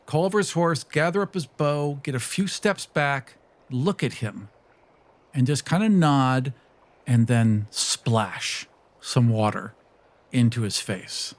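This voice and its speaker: A man's voice: medium pitch, lively intonation, slow. A man hosting a podcast, casually narrating as a tabletop roleplaying player.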